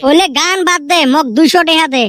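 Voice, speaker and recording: A woman's voice: extremely high pitch, quick pace, fairly bright tone, dry recording. A woman voicing a cheeky young village son in a comedy cartoon, whiny and pleading, with a northern Bengali Rangpuri accent. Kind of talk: comic cartoon dialogue.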